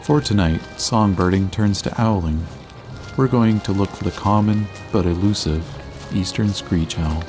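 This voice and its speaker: Low-voiced man narrating a nature outing. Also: unhurried, music in the background. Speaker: birding podcast host. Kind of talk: hushed narration and field commentary for a nature audio show.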